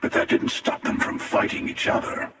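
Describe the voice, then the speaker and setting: A man voicing a jaded, menacing, world-weary older space hunter for a video game, with a gravelly, sardonic and deliberate delivery. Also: bright, forceful tone, natural voice. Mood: measured, sardonic. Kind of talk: video game character voice lines. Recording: cavernous echo and long reverb, distant.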